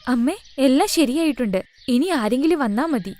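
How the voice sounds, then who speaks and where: A woman plays a young daughter-in-law in a cartoon tale. Her voice is high, and her manner is caring, reassuring and steadily hopeful. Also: animated, moderate pace, darkish tone. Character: devoted, hopeful young daughter-in-law running a roadside food stall.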